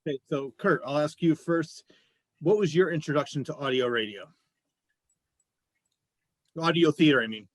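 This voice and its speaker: A man with a fairly high voice hosts an interview in a casual, chatty style. The sound is dry, with hardly any room on it. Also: moderate pace, long pauses, no echo. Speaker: interview show host.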